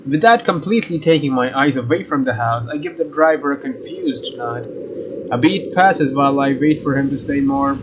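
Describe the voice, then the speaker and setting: A young man doing a let's play, reading a visual novel aloud and adding casual commentary. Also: medium pitch. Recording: dull lo-fi mic, faint noise.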